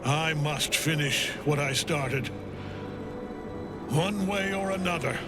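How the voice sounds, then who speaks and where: An actor playing a grief-worn, imperious villain general in a video game, speaking in a grandiose, commanding and theatrical way. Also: male voice, a little high, slow pace, over loud music. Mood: fervent.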